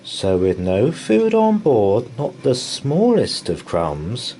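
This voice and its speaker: A man reading a children's bedtime story aloud in rhyme, with an expressive, theatrical delivery. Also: deep voice, slight background noise.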